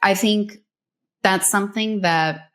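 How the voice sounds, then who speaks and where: A woman's voice: mid-range voice, slow pace. A woman from New Mexico, a brand story strategist, chatting casually as an interview guest and giving advice with many "like"s and "um"s. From New Mexico, USA. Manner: relaxed, conversational, many "like"s and "um"s.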